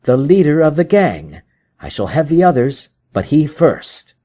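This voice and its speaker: Guy doing a proud intense storybook detective, high voice, emphatic and worked up, goes up and down a lot. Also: big pitch swings, unhurried, mellow. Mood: vengeful, triumphant. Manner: emphatic, agitated, declarative. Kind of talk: dramatic audiobook character reading.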